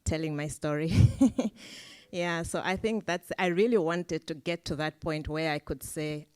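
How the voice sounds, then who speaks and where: A woman's voice: low-pitched, a little slow, clean tone, no room sound. A woman on a discussion panel, speaking thoughtfully with frequent hesitations.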